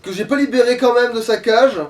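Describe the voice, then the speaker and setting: A man's voice: very high-pitched, fairly quick, recorded from a distance. A man chatting casually while playing a video game, talking colloquially and swearing often. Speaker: let's play gamer. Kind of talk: casual gaming chat.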